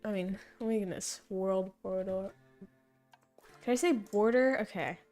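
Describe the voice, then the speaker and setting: Young female streamer, mid-pitched and slightly hoarse, rambling game commentary over soft background music. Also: unhurried. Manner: thinking aloud, rambling and self-correcting. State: voice fading, hoarse. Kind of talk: casual gameplay commentary.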